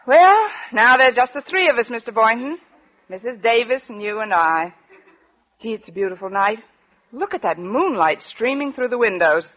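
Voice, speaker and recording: An actress playing a wry, lovelorn high school English teacher with dry delivery in a 1940s radio comedy. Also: female voice, animated, moderate pace, fairly bright tone. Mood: coy, hopeful. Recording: dull 1940s radio recording, studio audience.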